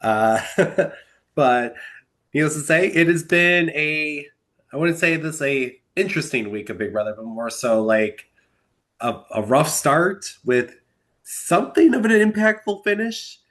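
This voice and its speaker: A man's voice: fairly high pitch, measured pace. A young man hosting a solo podcast, talking through a reality show's week in a rambling, exasperated way while stuffed up from a cold. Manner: rambling, animated, exasperated asides. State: congested from a cold. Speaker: podcast host. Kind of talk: solo recap commentary.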